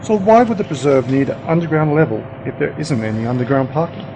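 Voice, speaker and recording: A man's voice: a little high, big pitch swings, fairly quick, background noise. A man playing a smug, curious know-it-all uncle with a dry, self-assured manner in an amateur radio play.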